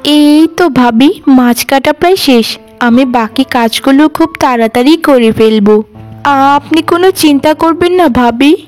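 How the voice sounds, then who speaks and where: A woman's voice: sits high, measured pace, a little mellow. A woman voicing a meek, sorrowful orphan girl in a cartoon, lonely and pleading, with music underneath. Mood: meek, anxious. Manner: plaintive, pleading. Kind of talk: animated cartoon drama voice.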